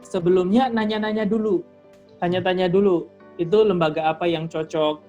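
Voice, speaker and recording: A man who used to be a tutor, a guest in a casual interview, explaining in a relaxed way with "eh" and "em" pauses. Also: high-pitched, lo-fi sound, faint music behind.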